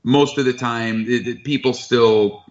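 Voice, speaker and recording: A man speaking as a chef in a casual interview, relaxed, talkative and frank. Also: mid-range voice, unhurried. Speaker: chef who buys from foragers. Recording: dull lo-fi sound.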